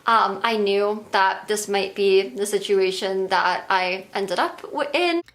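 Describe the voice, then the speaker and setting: A young woman streamer talking hesitantly in a video monologue, her voice slightly hoarse, full of "kinda" and "like". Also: mid-range voice, takes it slowly, a bit bright, low-quality recording. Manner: hesitant, many "kinda" and "like" fillers. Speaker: streamer in a played clip.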